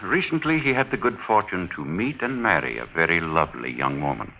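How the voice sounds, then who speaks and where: An actor playing a wealthy older husband in a 1940s radio mystery, formal and measured, falsely genial with quiet menace. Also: male voice, low-pitched, lively intonation, gravelly. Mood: insinuating. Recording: muffled, noisy 1940s radio recording.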